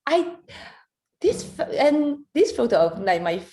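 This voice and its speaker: Woman from Hong Kong, thirties, animated, laughs often, halting English, video call. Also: on the high side. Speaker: photographer and competition judge. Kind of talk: casual video call interview.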